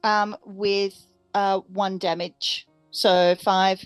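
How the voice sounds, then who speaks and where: A woman's voice: mid-range voice, little pitch movement, very slow, clean tone, dry recording, soft background music. A woman playing a tabletop roleplaying game, chatting casually and thinking aloud hesitantly.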